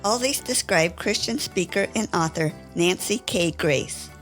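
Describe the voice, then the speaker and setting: A woman's voice: lowish voice, expressive, a little slow, a bit bright. A woman hosting a podcast, reading a friendly scripted introduction and asking interview questions. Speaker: podcast host. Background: faint music.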